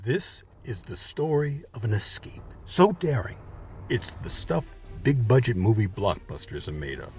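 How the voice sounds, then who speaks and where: A man's voice: medium pitch, big pitch swings, unhurried, a little mellow, lo-fi sound, soft background music. A man narrating a scripted history story with emphatic, dramatic phrasing, as the host of the show.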